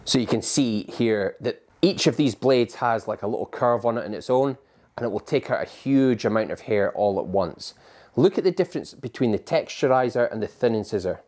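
A man's voice: medium pitch, animated, normal speed, a little mellow. A man in his forties, a barber explaining scissors to camera in a barbershop, in a patient, practical teaching manner. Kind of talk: instructional talk to camera. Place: barbershop interior.

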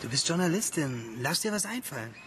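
Earnest young researcher in an audio drama, lively pitch swings, noisy ambience. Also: male voice, brisk. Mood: earnest, insistent. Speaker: actor in a radio play. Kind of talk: naturalistic radio drama dialogue.

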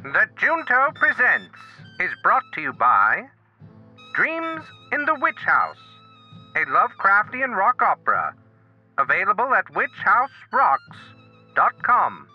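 A formal, theatrical man does old-time radio announcing. His high voice swoops in animated fashion, on a lo-fi recording with faint music. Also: slow.

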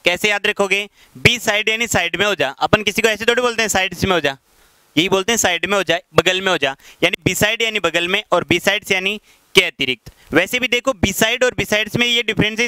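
Man, thirties, Rajasthani accent, high clear voice, dry close headset sound, classroom teacher slowing down for English examples. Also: pushed and bright, no echo, low-quality recording. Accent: Rajasthani Hindi. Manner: explains patiently, slows down to read English example sentences aloud. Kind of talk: classroom lecture explaining English vocabulary in Hindi. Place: classroom in front of a whiteboard. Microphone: headset mic.

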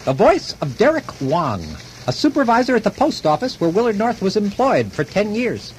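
A scholarly male narrator delivers formal mock-documentary narration. His voice is high with wide, expressive intonation. Noise runs behind the old radio recording. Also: normal speed. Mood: solemn, expository.

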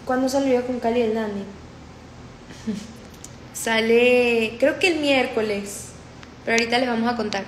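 A woman's voice: on the high side, moderate pace, pauses often, reverberant, slight background noise, rough recording. A woman in her twenties from Colombia with a paisa accent, chatting casually on a phone livestream and reading comments aloud. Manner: chatty, repetitive, mixes in English. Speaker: livestream host. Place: room indoors. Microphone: phone held close.

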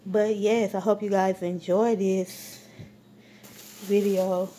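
A woman talks through a casual grocery haul while holding her phone camera at home. She speaks slowly and loosely, full of ums and restarts, with a mellow, dark tone and a middle pitch. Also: little pitch movement, slight background noise. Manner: rambling, full of ums, warm shout-outs. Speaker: vlogger. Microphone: phone camera held while filming.

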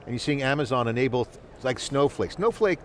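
A man's voice: mid-range voice, animated, a little fast, a little hiss or hum. Enthusiastic male host at a trade show. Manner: enthusiastic, run-on, wrap-up patter. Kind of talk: live event interview hosting. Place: convention hall floor. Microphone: headset mic.

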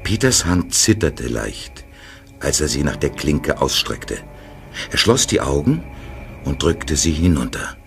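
A man narrating a radio play in a calm, measured storytelling manner. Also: rumbling low, vocal fry, faint music behind.

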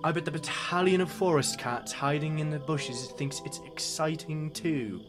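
Low-voiced, exasperated young tomcat played by a woman. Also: normal speed, music in the background, close to an everyday voice. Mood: worried, sarcastic. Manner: sarcastic, stern, exasperated. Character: cautious, exasperated young male cat, grumpy boyfriend. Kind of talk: comic dub character acting.

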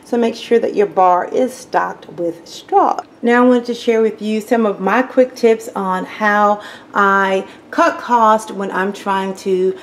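A woman vlogger casually showing her home bar while filming it, chatty and relaxed, with plenty of um and you know. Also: mid-range voice, slow, a little hiss or hum. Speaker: lifestyle vlogger. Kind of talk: casual home tour talk to camera. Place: home basement bar, indoors.

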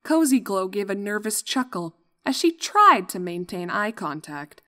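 A woman's voice: mid-range voice, very animated, a little slow, fairly bright tone. A woman reading scripted fiction as the narrator of a story. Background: background music.

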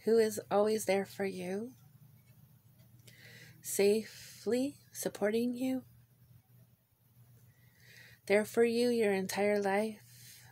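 A woman leading a guided visualization in a gentle, informal way, pausing between short phrases. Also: medium pitch. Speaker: guided meditation leader. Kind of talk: guided meditation, visualization narration.

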